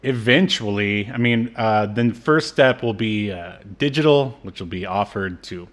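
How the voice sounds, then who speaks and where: A man with a fairly low voice chats as a podcast co-host. He talks casually and likes to tease and joke with the person he is talking to. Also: normal speed. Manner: joking, teasing. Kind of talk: casual chat.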